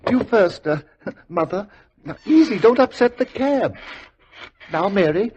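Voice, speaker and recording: An actor in a 1940s radio drama playing a nervous, furtive bridegroom with a husky voice and an insistent, hesitant manner. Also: male voice, high-pitched, lively intonation, measured pace, darkish tone, slight background noise. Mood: hurried. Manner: jumpy, hesitant, urging. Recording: dull, muffled old radio recording.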